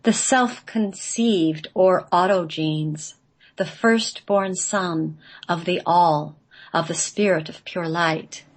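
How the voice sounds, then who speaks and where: A woman reading radio play narration in a measured, solemn manner. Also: on the low side. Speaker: guest, playwright reading own play.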